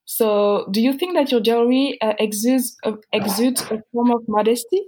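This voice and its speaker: A woman hosting a conversation, asking questions in a relaxed, conversational way. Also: a little high, moderate pace, slight background noise. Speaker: podcast host. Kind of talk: interview questions.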